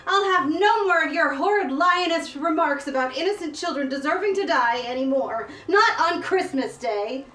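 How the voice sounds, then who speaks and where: A woman's voice: sits high, expressive, normal speed, few pauses, pushed and bright. A woman playing an earnest charity solicitor in a holiday radio play. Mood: indignant. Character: indignant charity solicitor, softening to grateful. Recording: echoey room, distant mic.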